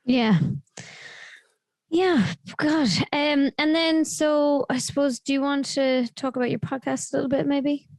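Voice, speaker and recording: Woman with a slightly high voice. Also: fairly even intonation, a little slow, darkish tone, dry recording. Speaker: podcast host. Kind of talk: casual conversational interview.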